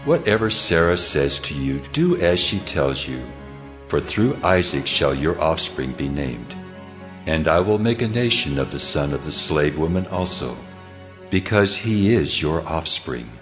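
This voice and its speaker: God, extremely deep and solemn. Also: male voice, lively intonation, measured pace. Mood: solemn, commanding. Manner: solemn, authoritative. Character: God, a commanding male deity reassuring a man. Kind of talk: dramatized scripture acting. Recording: background music under the voice.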